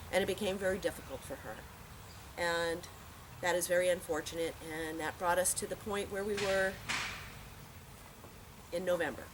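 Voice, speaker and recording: Woman giving a eulogy, noisy outdoor recording. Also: fairly low pitch. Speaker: eulogy speaker at a memorial. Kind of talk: heartfelt eulogy to a gathering. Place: outdoor park memorial gathering. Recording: noisy outdoor park ambience.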